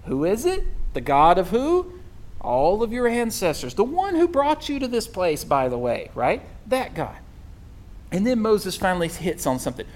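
A pastor delivers a relaxed, conversational sermon, often asking the listeners "right?" The delivery stumbles over restarts and fillers. The voice sits at a moderate pitch. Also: normal speed. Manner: conversational, with frequent "right?" and asides.

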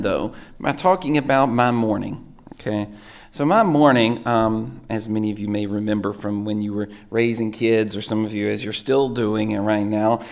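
A man, a pastor preaching with a sore, hoarse throat, telling rambling self-mocking stories. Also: mid-range voice. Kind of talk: sermon.

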